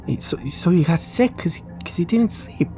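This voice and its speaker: A man's voice: high voice, lively intonation, normal speed, warm tone, faint music behind, voice pushed up. A man voicing a small, kind-hearted, shy child in an audio drama, speaking hesitantly and stumbling over words. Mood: worried. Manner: hesitant, stammering and repeating words. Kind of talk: audio drama character dialogue.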